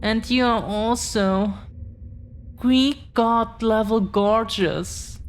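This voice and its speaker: A woman's voice: on the high side, fairly even intonation, drawn-out words. A woman playing a nervous, protective dragon-blooded girlfriend in an intimate audio roleplay, speaking hesitantly, earnestly and tenderly.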